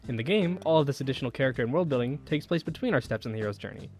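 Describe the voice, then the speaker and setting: A young man narrates a scripted video essay over background music. He moves along at a brisk pace with an average-pitched voice, enunciating his lines in a measured, explanatory way and posing rhetorical questions as he goes. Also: lively intonation, vocal fry, a little mellow. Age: young adult.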